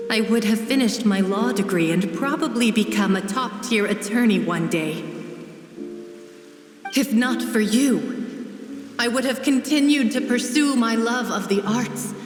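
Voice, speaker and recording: Game casting: merged ghost of wronged women, measured and accusing, distant in long reverb. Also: female voice, on the high side. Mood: bitter accusation. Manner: slow, measured, accusatory speech. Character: merged spirit of murdered women, sorrowful, accusing. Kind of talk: dramatic video game voice acting.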